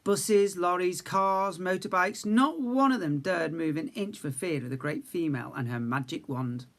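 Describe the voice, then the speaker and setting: A male teacher reading a storybook aloud to young children, lively, voicing characters higher and dropping to quiet asides. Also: normal speed. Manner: lively reading, raises pitch for characters' speech; self-corrects slips mid-sentence.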